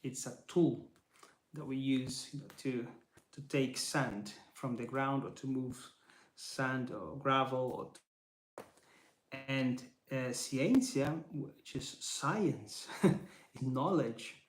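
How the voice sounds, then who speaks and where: Male Bible teacher, Portuguese native speaker, unhurried and reflective, mid-pitched, roomy echo. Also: slight background noise. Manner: thoughtful, searching for words, with restarts.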